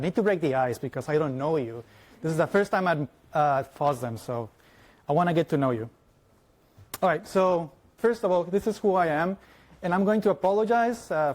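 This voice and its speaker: A man in his thirties with a Mexican Spanish accent, a native Spanish speaker, giving a fast, lively conference talk and often asking the audience questions. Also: a little high. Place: large lecture hall.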